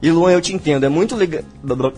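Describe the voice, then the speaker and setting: A man's voice: a little high, fast talker, a little mellow, faint music behind. A man with a capixaba accent from Espírito Santo, co-hosting a podcast with a playful, self-mocking manner. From Brazil. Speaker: podcast co-host. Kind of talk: casual podcast banter.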